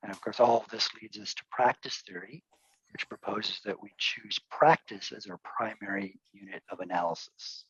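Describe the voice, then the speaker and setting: A man with a husky, fairly low voice hosts a talk and introduces the speaker. He comes across as self-deprecating. The sound is dry and slightly dulled. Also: normal speed, fairly bright tone, dry recording, muffled recording. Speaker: host introducing a guest speaker. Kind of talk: spoken introduction to an online talk.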